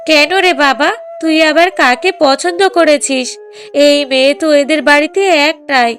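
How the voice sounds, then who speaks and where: Cartoon mother, middle-aged, stubborn and anxious, very high voice, emphatic pleading over music female voice actor. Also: normal speed, fairly bright tone. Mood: puzzled, coaxing. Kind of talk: Bengali moral-story cartoon dubbing.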